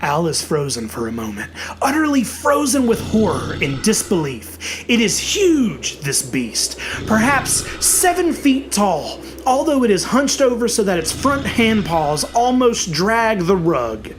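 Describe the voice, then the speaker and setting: A narrator reading a horror story in a theatrical, dramatic way, with emphatic, drawn-out words. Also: medium pitch, sing-song, noisy background.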